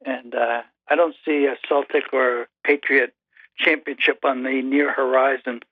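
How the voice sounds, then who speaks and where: An older man calling in by phone as the host's father, talking slowly and haltingly in a dry, reserved way. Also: mid-range voice, flat delivery, no room sound. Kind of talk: casual phone chat. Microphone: phone call. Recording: tinny phone line.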